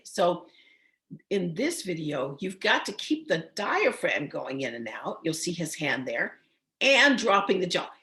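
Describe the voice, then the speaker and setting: A woman voice teacher with a slightly hoarse, froggy voice, giving an online singing lesson and checking in often with "okay?". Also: lowish voice, fairly bright tone. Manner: encouraging, checks in with frequent "okay?".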